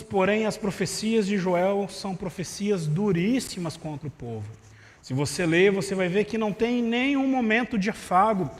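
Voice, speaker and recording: A man preaching a sermon in a conversational way, with rhetorical asides to the congregation, in a voice that tires easily. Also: high voice. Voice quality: vocal cord problem, voice tires easily. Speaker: pastor. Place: church sanctuary. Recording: live church stream with long reverb.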